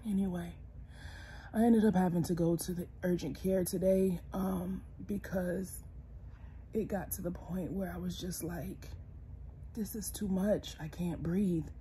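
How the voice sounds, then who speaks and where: Tired congested woman talking softly in bed. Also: fairly low pitch, fairly even intonation, slow pace, pauses often, a little hiss or hum. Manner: tired, soft-spoken, sometimes dropping to a whisper. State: congested, hoarse from illness. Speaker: vlogger. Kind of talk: casual personal vlog. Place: bedroom, lying in bed indoors. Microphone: phone camera close, held low.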